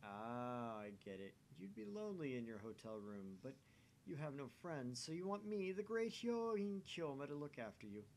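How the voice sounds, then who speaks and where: A man's voice: fairly high pitch, very animated, moderate pace, a little mellow, a little hiss or hum. A man playing a pompous, self-important young mad scientist from a visual novel, grandiose and mocking.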